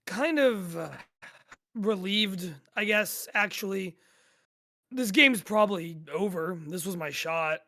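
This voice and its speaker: Male actor as dejected game obsessive, gravelly fry, unhurried, hesitant, rambling, audio drama table read. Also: sits high, animated, sharp tone, full character voice. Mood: deflated. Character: weary dejected man, obsessive player of a very long game.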